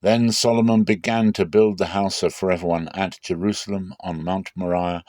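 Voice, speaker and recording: A man giving a dramatic, solemn scripture reading with measured, deliberate phrasing. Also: deep voice, darkish tone, dry recording. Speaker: Bible reader.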